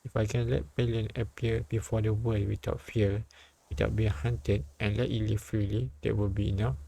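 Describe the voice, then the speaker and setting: A man reading a story and its dialogue aloud as a live streamer, often halting and repeating phrases. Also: lowish voice, level tone, vocal fry, warm tone. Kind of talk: read-aloud narration and dialogue translation with side comments.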